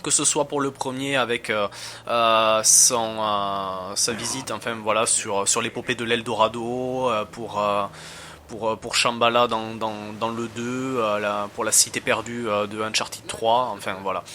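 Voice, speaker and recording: A man giving casual, halting commentary as he plays and reviews a game. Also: on the low side, fairly even intonation, pushed and bright, a little hiss or hum. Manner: hesitant, halting.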